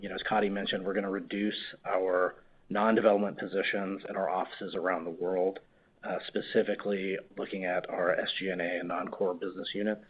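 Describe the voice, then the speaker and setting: A very deep, flat-voiced man speaks with a husky edge, halting and repeating words, leaning on "you know". He is a company executive giving remarks on an earnings call. The sound is muffled and dull. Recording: muffled conference call audio.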